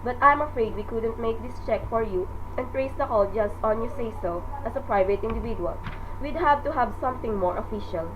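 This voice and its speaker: An actress playing an unruffled chief telephone operator in a radio drama, formal, polite and procedural. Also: female voice, a little high, brisk, dull audio, noisy background, lightly acted. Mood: officious, polite.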